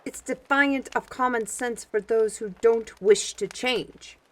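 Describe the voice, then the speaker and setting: A woman playing a cheeky, excitable fantasy adventurer in an audio drama, with teasing, wordy, folksy phrasing. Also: a little high, takes it slowly. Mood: exasperated.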